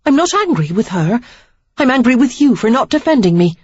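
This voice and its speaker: A woman's voice: on the high side, very animated, fairly quick, breathy edge, no echo, highs cut off, voice pushed up. A woman reader voicing an upset young woman who pleads and insists, close to tears. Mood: indignant. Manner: pleading, emphatic, near tears. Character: young woman, frustrated and resolute suitor.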